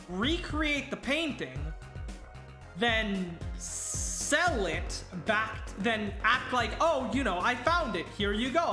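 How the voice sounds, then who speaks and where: A woman with a Wisconsin accent giving casual, wry let's play commentary, thinking out loud as she plays. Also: on the high side. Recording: roomy room with game music. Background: game music.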